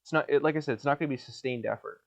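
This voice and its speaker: A young man with a nasal voice hosting a solo podcast from a hot basement, rambling in a crude, deadpan way with lots of restarts, his mouth dry and a little coughy. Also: mid-range voice, racing, gravelly. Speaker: podcast host.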